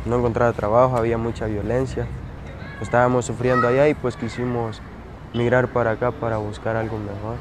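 A man's voice: mid-range voice, level tone, brisk, background noise. Hesitant young man. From Honduras. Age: 20s. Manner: plain, hesitant, colloquial. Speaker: migrant. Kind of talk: documentary interview. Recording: music underneath.

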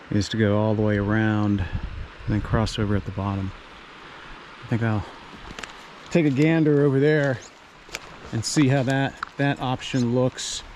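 A man's voice: fairly low pitch, darkish tone. A man narrating casually while hiking and fishing a mountain stream, thinking aloud with fillers and restarts. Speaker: fishing vlogger. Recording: outdoor recording with stream noise. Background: rushing stream water.

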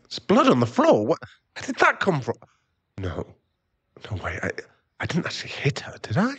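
A man's voice: fairly high pitch, wildly swooping pitch, normal speed, fairly bright tone, slightly rough, full character voice. A man playing an irritable, defensive, hard-drinking werewolf boyfriend and expectant father in an ASMR roleplay audio drama, given to sighs and snappish remarks. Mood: panicked guilt.

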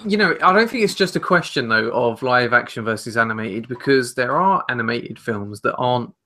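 A man's voice: medium pitch, expressive, brisk, sharp tone. A young man co-hosting a podcast, talking casually and carefully qualifying his points. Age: young adult. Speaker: podcast co-host. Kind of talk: casual discussion. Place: home room with shelves.

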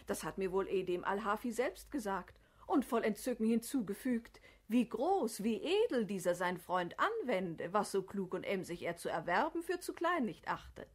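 A woman's voice: mid-range voice, sing-song, moderate pace, few pauses, breathy edge. An actress playing a shrewd, assured noblewoman in a classic radio play, eloquent and declamatory. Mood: admiring. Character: shrewd, scheming, assured noble sister of a sultan.

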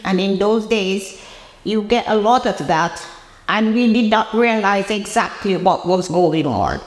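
An elderly woman with a Jamaican accent shares personal memories as an interviewee. Her voice sits at an average pitch for a woman. The indoor room gives it a clear echo. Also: expressive, measured pace. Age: 80s. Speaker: interviewee recalling her past. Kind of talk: personal reminiscence to camera. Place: indoor room with bare walls, seated interview. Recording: roomy, echoing indoor interview.

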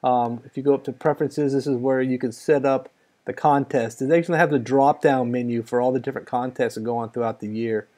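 A man's voice: mid-range voice, fairly even intonation, a little fast, a little mellow. A middle-aged American man giving a casual, laid-back, slightly rambling walkthrough as a radio hobbyist. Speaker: amateur radio hobbyist demonstrating software.

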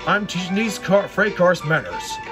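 A man's voice: high-pitched, lively intonation, normal speed, over music. A man voicing a proud, grumpy big express engine in a children's storybook, boastful and cross. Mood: settled.

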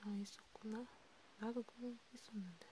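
A woman whispering softly in a halting, conversational way, as in an ASMR counseling roleplay. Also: fairly high pitch, fairly even intonation, rough recording. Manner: hushed, slow, halting, conversational. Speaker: ASMR creator playing a counselor. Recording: noticeable background hiss.

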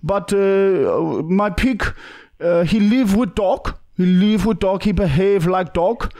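A man's voice: sits high, slow, warm tone. A young man voicing a pushy foreign man with broken English on a prank call, full of hesitant fillers. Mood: pleading. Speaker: prank caller. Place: small studio room indoors. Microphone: podcast mic on boom arm.

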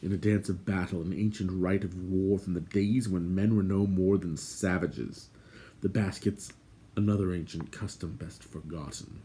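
A man voicing a noble warrior lord in a storybook, formal, commanding and gently stern. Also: low-pitched, steady tone, normal speed, natural voice. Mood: grave.

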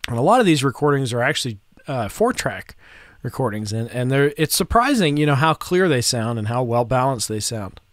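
A man in his thirties narrating a casual how-to in an unhurried, hesitant, step-by-step way, close to the microphone. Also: medium pitch, very animated, no room sound. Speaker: audio restorer presenting a how-to. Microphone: close microphone in front of him.

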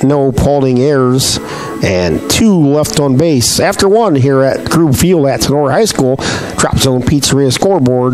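A man calling a local baseball game play by play, his voice scratchy and hoarse, chatting folksily between pitches. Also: a little mellow. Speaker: play-by-play announcer.

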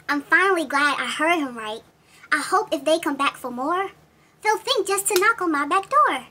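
A woman voicing an excitable, vain young princess in a gushing, girlish, dramatic way for a children's story. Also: very high-pitched, takes it slowly, long pauses, a little hiss or hum, voice pushed up, heavily acted. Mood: relieved, hurried. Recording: music behind the voice.

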